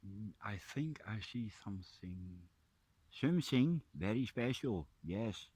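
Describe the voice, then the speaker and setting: A man with a Dutch accent, softly narrating a mushroom hunt in a forest as a nature vlogger. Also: on the low side, lively intonation, measured pace, breathy edge. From Netherlands. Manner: soft-spoken, drops to a hushed near-whisper up close. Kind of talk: casual narration while foraging. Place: forest, outdoors.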